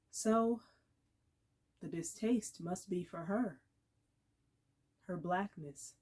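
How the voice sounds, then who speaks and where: A woman reads literary fiction aloud as a dramatic performance. She takes her time, letting phrases land with deliberate pauses. Her delivery is expressive and measured, at a medium pitch. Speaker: actor reading aloud.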